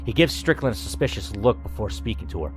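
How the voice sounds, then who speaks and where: A man's voice: on the low side, expressive, quick pace. A man reading a story game aloud as channel host, moving between dramatic narration and casual asides. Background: faint music.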